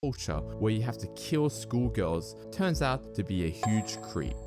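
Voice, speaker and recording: A man with a deep voice narrates a scripted video essay. Music plays clearly behind his words. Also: sing-song, measured pace.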